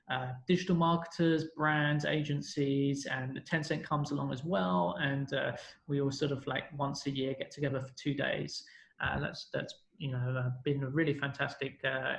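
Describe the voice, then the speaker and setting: Gravelly British man, echoey room. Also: medium pitch. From London, England. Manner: rambling, self-correcting. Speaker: podcast guest. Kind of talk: casual storytelling interview.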